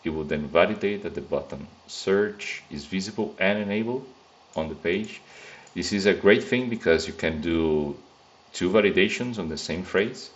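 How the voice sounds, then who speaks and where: A man with a Brazilian Portuguese accent speaks in a very low, deep voice, thinking aloud in a loose, casual way as he works through a screen-recorded coding demo. The sound is a little dull and lo-fi, as if from a desk mic. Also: moderate pace. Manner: casual, thinking aloud, frequent fillers and restarts. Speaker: software test engineer.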